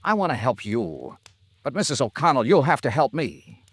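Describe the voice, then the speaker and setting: An actor playing an earnest, insistent American investigator in an old-time radio drama, speaking in urgent, clipped phrases. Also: male voice, medium pitch, sing-song, moderate pace, husky, no echo. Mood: earnest, pleading. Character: insistent, earnest male insurance investigator, gentle but hard-boiled.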